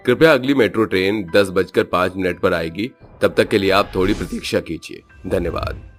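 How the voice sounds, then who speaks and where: A man's voice: low-pitched, normal speed, soft background music, pitched below the performer's own voice, lightly acted. A man voicing a polite male metro staff member who speaks formally and soothingly in a cartoon story. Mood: apologetic.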